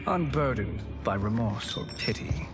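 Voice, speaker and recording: A man playing a scheming, self-important sorcerer in a video game cutscene, speaking in a formal, persuasive, measured way. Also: lowish voice, animated. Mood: grand, confident. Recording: loud cinematic music behind.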